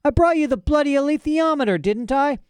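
Man doing a defiant young girl near tears, rough speech, mellow tone, dry sound. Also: high-pitched, a little fast, dry recording, pitched above the performer's own voice, close to an everyday voice. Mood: tearful outburst. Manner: rough working-class speech, indignant.

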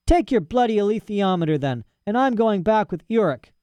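A man reading a story aloud and voicing a defiant young girl on the edge of tears, with rough, ungrammatical speech. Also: sits high, expressive, normal speed, mellow, no echo, pitched above the performer's own voice. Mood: tearful outburst. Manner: rough working-class speech, indignant.

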